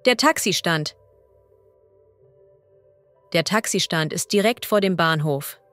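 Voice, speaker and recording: A woman as a language teacher reading idioms and vocabulary slowly and clearly. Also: on the low side, expressive, fairly bright tone, no room sound, soft background music. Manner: slow, clear and deliberate.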